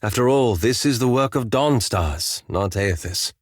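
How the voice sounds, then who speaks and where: A man's voice: mid-range voice, lively intonation, unhurried, no echo, studio quality. An actor playing a courteous, wry and anxious scholarly male elf companion in a fantasy video game, with formal, precise enunciation. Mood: earnest, wary.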